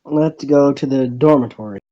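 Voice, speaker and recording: A narrator reading a visual novel aloud in a halting way, voicing the lines of dialogue, on a dull microphone. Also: mid-range voice.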